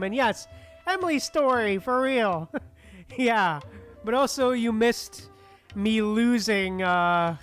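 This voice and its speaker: A woman streamer gives casual live game commentary in a mid-range voice. She is chatty and reactive, dropping in short interjections, with game music behind her. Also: mid-range voice.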